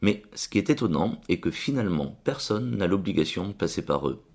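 A man reading a scripted radio column in a steady, explanatory way. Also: low voice, fairly quick, slightly rough.

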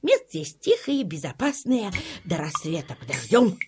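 A woman playing a bossy, cunning old witch in a children's audio play, with a raspy voice and folksy, commanding, cajoling talk. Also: on the low side, huge pitch swings, normal speed, noisy background.

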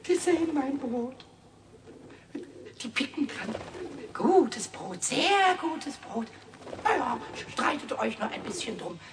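A man voicing a cheeky, childlike little kobold who chatters excitably to himself in a squeaky voice in a children's radio play. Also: slow pace, pauses often, distant mic, background noise, exaggerated voice. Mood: excited, scheming. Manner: excitable muttering to himself, exclaiming.

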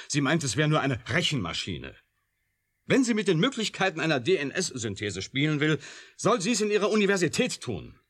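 An insistent, husky-voiced male scientist. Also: mid-range voice, a bit bright. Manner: insistent, emphatic, argumentative. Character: alarmed, stubborn male scientist warning against a computer. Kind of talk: German radio play acting.

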